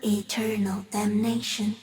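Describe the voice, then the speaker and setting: A woman voicing an ancient, shy giant stick insect in a video game, gentle and solemn, speaking poetically with hushed, eerie wonder. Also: medium pitch, little pitch movement, a little slow, natural voice. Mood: solemn, awed. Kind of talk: slow poetic game monologue.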